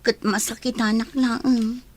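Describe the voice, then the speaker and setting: A heartbroken young woman in a village radio drama, played by a woman. Her voice is high, and her speech is tearful and plaintive. She has an Ilocano accent. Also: little pitch movement, takes it slowly. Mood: dejected.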